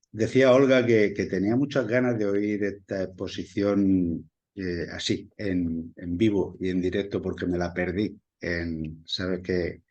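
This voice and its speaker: Very deep-voiced Murcian man. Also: darkish tone, dull audio. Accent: Murcian Spanish. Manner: halting, many fillers and restarts. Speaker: study group participant. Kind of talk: casual group discussion.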